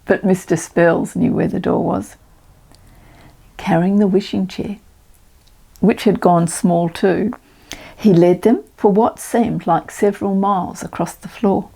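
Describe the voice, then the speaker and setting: An older woman reading a children's storybook aloud as a storyteller, expressive and dramatic. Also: lowish voice, vocal fry, breathy edge.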